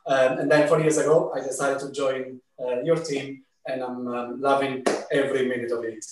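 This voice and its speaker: A man's voice: mid-range voice, flat delivery, normal speed. Italian-born man in a very echoey kitchen. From Italy. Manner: friendly, hesitant with fillers. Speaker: cooking advisor, guest. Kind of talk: self-introduction on a video call. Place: home kitchen. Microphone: camera at a distance. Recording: very echoey kitchen, far from the mic, light noise.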